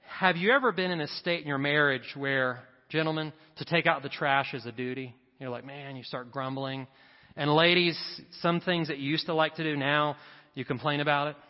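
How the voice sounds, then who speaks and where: A man's voice: on the high side, fairly even intonation, moderate pace. A man preaching a sermon to a congregation, emphatic and repeating his key lines for effect. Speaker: pastor. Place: church sanctuary. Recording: reverberant hall, dull sound. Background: church congregation.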